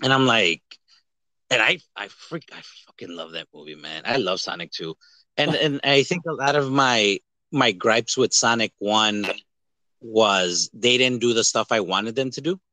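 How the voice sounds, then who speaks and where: A man hosting a casual movie podcast, talking in an animated, rambling, jokey way with some swearing. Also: lowish voice, moderate pace, no echo, low-quality recording. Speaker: podcast host. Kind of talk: casual movie review chat.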